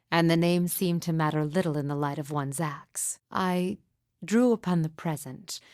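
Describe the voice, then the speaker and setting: Sorrowful mystic mother, low female pitch, dry studio sound, solemn, deliberate pauses, formal fantasy game dialogue. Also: darkish tone, no echo. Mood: earnest, solemn. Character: grieving mother, solemn sorrowful mystic midwife with haunted calm.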